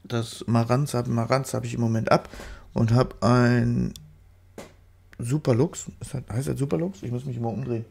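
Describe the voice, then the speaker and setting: A man's voice: medium pitch, fairly even intonation, normal speed, creaky, darkish tone, breathy edge. A man with a stuffy nose from a cold, chatting casually through a photo-editing tutorial voice-over, rambling and correcting himself as he goes. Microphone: studio microphone.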